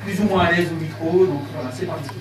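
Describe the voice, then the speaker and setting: A man's voice: a little high, fairly even intonation, fast talker, crowd noise. A man moderating a round-table debate, hesitating often with fillers. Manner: many fillers, repeats "voilà". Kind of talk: hesitant welcoming speech. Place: large echoey hall. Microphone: handheld mic, heard from afar. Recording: echoey hall, distant, noisy.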